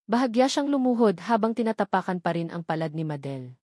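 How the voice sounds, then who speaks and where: A woman's voice: medium pitch, sing-song, fairly quick, darkish tone, no room sound, studio quality. A woman narrating a dramatic story from a script as an audiobook reader.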